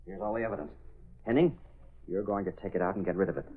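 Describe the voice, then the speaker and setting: Brusque, fast-talking crook boss. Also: male voice, low voice. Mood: scheming, commanding. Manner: brusque, commanding. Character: domineering, impatient crook boss, hard and contemptuous. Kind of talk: 1930s radio drama acting. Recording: muffled old-time radio recording.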